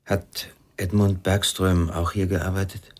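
Deep, reserved investigator. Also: male voice, level tone, measured pace. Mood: probing. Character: reserved, melancholy investigator returned from exile. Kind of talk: German radio drama acting.

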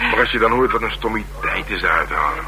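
Man acting world-weary male detective, very low sharp voice, Netherlands Dutch, dry and teasing, radio drama, hissy old recording. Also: lively intonation, brisk, close to an everyday voice. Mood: weary, matter-of-fact. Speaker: actor in radio crime serial. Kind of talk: naturalistic radio drama dialogue.

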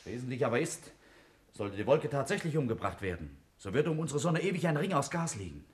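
An actor playing a stubborn, logical, commanding scientist in a 1950s German radio drama, brisk and authoritative. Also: male voice, medium pitch, big pitch swings, natural voice. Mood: indignant, arguing. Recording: noisy 1950s radio recording.

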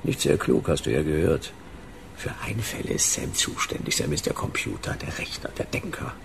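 An actor playing a wry, self-deprecating small-time private detective with a gravelly voice and dry irony in a radio drama. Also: male voice, low-pitched, big pitch swings, normal speed, in a whisper, exaggerated voice. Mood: wry, urging. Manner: dry, ironic, laconic. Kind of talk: German radio drama acting.

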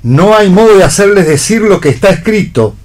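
A man's voice: sits high, lively intonation, fairly quick, darkish tone. A man playing a fussy, exasperated theatre prompter in a radio play reading.

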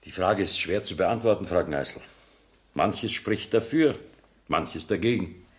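An actor playing a composed middle-aged male judge who speaks in a formal, measured, official way, in a radio play. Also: deep voice. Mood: justifying. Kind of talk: 1970s German radio play acting.

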